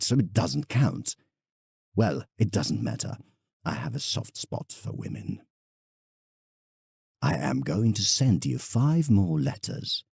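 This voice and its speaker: An actor playing a conceited, eccentric older painter in an audio drama, speaking in a husky, gravelly voice with rambling asides. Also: male voice, low voice, darkish tone, dry recording, clean studio sound, full character voice. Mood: confiding, then chatty. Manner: pompous, rambling, hesitant asides. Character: conceited, eccentric older male English painter writing letters. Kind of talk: audio drama letter monologue.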